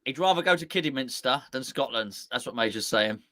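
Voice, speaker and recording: A man's voice: mid-range voice, expressive, bright, forceful tone, no echo. A man co-hosting a casual live football chat, rambling and restarting his sentences often. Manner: rambling, halting with repeated restarts. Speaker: co-host.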